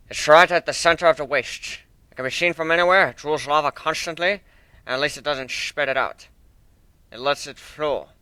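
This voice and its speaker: Storybook sky captain, voiced by a man: plain-dealing, brisk, cautioning, bright tone, close dry sound. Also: on the high side, clear, resonant tone, no echo. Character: gruff, plain-dealing male airship captain.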